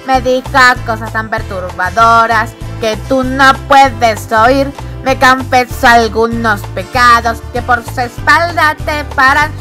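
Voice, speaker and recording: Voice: mid-range voice, slow pace, few pauses, pushed and bright. A woman singing a playful parody song in Spanish. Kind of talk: sung parody of a cartoon song. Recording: music behind the voice.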